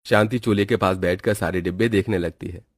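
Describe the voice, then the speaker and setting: A man's voice: low voice, fairly even intonation, a little fast. A man reading scripted narration for a cartoon moral story as its storyteller. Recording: background music under the voice.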